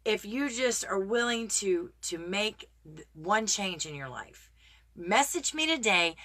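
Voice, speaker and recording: A woman talking earnestly and encouragingly to viewers in a casual live video, greeting them by name, her voice a little raspy today. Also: mid-range voice, pushed and bright. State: slightly raspy voice. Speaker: motivational live streamer. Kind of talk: casual motivational talk to viewers.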